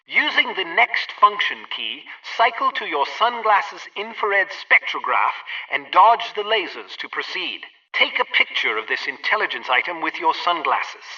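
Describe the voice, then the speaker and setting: An actor playing a brisk gadget-lab instructor in a video game, giving precise, emphatic training directions. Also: male voice, high voice, very animated, sharp tone, far from the mic, lo-fi sound, full character voice. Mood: brisk, instructive.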